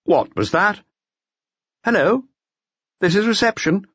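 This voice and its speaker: A man's voice: high-pitched, very animated, takes it slowly, fairly bright tone, slightly rough, no echo, lo-fi sound, pitched above the performer's own voice, exaggerated voice. A man voicing a puzzled, irritable hotel employee on the telephone in an audiobook.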